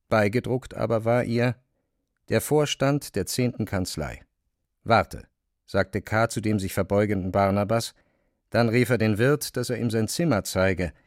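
Low-voiced male literary narrator, dry studio sound. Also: no room sound. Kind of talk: scripted literary narration in a radio play.

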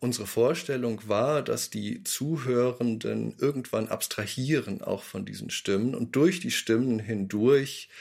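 A man's voice: lowish voice, vocal fry. A man explaining his radio production work in an interview statement. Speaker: radio play maker.

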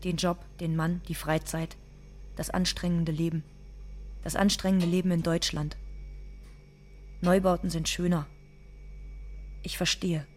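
A woman playing a stoic, bitter undocumented immigrant from Ecuador, speaking in deliberate, restrained radio drama monologue. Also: lowish voice, level tone, a little mellow.

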